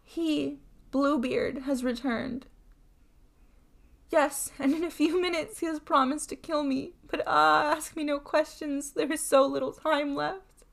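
A woman voicing a terrified young wife in a storybook tale, pleading in a breathless, halting, urgent way. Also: a little high, fairly bright tone. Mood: desperate, pleading.

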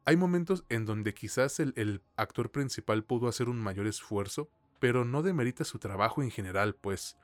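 A man's voice: mid-range voice, lively intonation, normal speed, few pauses, dry recording. A man with a Mexican accent giving a casual review as a podcast co-host, speaking informally with plenty of slang and swearing.

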